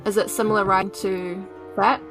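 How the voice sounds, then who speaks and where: Female player, New Zealand accent, mid pitch, creaky voice, casual questioning roleplay, faint music. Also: level tone, brisk, a bit bright. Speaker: player in a tabletop game. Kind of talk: casual in-game roleplay chat.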